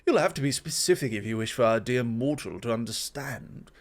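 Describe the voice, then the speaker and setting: A man's voice: medium pitch, expressive, natural voice. Man playing a composed, formal god. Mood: calm, assured. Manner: formal, measured, gently superior. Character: composed, intellectual god of foresight addressing a mortal. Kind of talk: ASMR roleplay acting.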